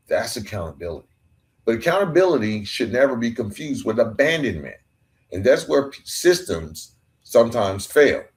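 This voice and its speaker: A middle-aged man hosting his own podcast, delivering an earnest, emphatic monologue with rhetorical questions and a preacher-like rhythm. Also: fairly low pitch. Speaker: podcast host and author. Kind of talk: reflective solo podcast monologue.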